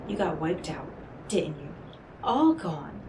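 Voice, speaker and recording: A woman's voice: low voice, sing-song, echoey room, noisy background, exaggerated voice. A woman playing an enigmatic, devilish stranger in a roleplay audio drama, speaking coyly and theatrically to the listener with teasing condescension. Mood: mock sympathy, condescending. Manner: coy, deliberate, theatrical, talking directly to the listener.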